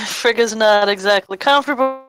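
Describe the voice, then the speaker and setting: A woman's voice: a little high, normal speed, a bit bright, poor audio. A woman playing a tabletop role-playing game, laid-back and amused. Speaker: player. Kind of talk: casual tabletop play.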